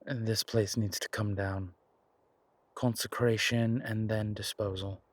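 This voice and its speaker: A man playing a commanding male incubus demon investigator in an audio roleplay, terse and colloquial, with a low, husky voice. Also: little pitch movement, a little slow, no echo. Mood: grim, insistent. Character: gruff, urgent male incubus demon investigator, protective, wryly friendly. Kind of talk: immersive audio roleplay acting.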